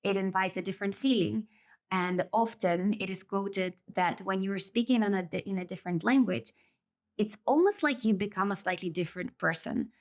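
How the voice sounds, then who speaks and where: A woman with a Russian accent, a native Russian speaker, hosting a solo podcast in a thoughtful, measured way with small self-corrections. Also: mid-range voice. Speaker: podcast host. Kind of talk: reflective solo podcast monologue.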